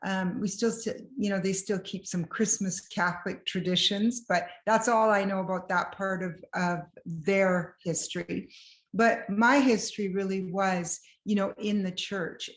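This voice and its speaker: A woman's voice: mid-range voice, measured pace. Earnest reflective woman, echoey room. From Belgium. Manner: earnest, reflective, varied pace. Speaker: guest, marriage counselor. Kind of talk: personal testimony interview.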